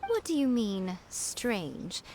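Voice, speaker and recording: A woman's voice: mid-range voice, big pitch swings, takes it slowly, noisy background. A woman playing a poised, sly and teasing lady in a video game. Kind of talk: video game character acting.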